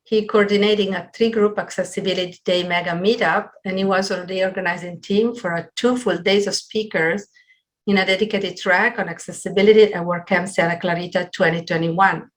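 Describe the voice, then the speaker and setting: A middle-aged woman hosting a webinar on a video call from a small room at home, introducing things in a halting, partly read way. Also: fairly low pitch, fairly even intonation, poor audio. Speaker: webinar host. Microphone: computer video call.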